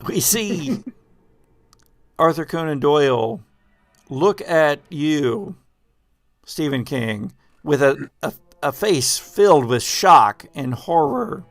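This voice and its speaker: A man improvising narration as game master of a tabletop game, telling it haltingly and dramatically with drawn-out pauses. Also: on the high side, no echo. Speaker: game master of a tabletop role-playing show.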